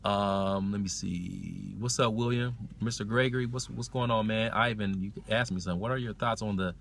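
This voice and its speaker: A man hosting a language-learning livestream, chatting casually and answering comments, circling back to restate his points in a halting way. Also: fairly low pitch, steady tone, gravelly, a bit bright, slight background noise.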